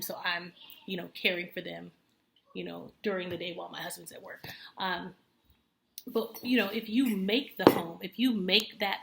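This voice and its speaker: A woman in her early thirties speaks in a bright, clear voice. She is earnest and chatty, full of fillers and self-corrections. The room is echoey. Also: mid-range voice, slight background noise. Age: 30s. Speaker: homemaker vlogger. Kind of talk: casual talk to camera.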